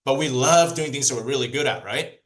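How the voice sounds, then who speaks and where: A man whose first language is Cantonese, giving a lively training talk to a group, asking them questions and repeating key points. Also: medium pitch, brisk. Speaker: sales trainer.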